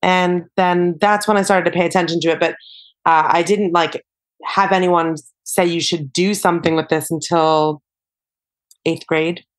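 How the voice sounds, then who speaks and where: A young woman with a somewhat low voice talks casually as a podcast guest. She rambles in a relaxed way, peppering her sentences with "like" and "you know". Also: moderate pace. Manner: chatty, many fillers like "like" and "you know". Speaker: podcast guest, singer-songwriter. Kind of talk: casual interview chat.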